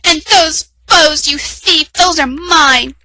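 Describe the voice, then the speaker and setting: A woman voicing two spoiled, greedy stepsisters in a storybook reading, squealing and sneering in shrill, drawn-out tones. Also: bright, forceful tone, full character voice.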